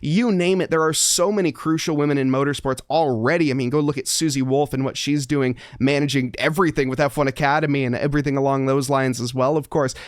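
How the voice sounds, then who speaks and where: A man's voice: medium pitch, fairly even intonation, fast talker, few pauses, dry recording, clean studio sound. A man who works as a sim racing commentator, talking as an upbeat guest in a casual podcast interview.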